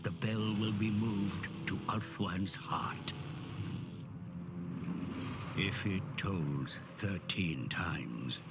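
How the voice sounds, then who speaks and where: A man voicing a grim, ominous narrator of dark fantasy game cutscenes, gravelly and theatrical, with breathy phrase endings. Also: sits low, exaggerated voice. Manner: slow, theatrical, portentous. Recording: loud music under the voice.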